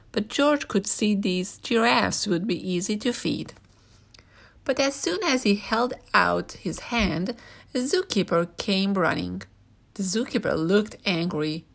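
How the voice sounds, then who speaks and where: A woman's voice: medium pitch, gravelly. Female storyteller for a children's picture book read-aloud, mid-range voice.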